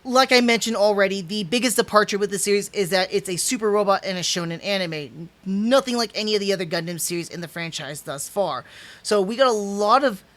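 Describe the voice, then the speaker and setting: A man's voice: sits high, fairly quick. A man in his thirties hosting a casual livestream review from a small room at home, talking into a desk webcam setup, rambling and restarting, stumbling over names and chuckling. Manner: animated, gestures with hands while talking.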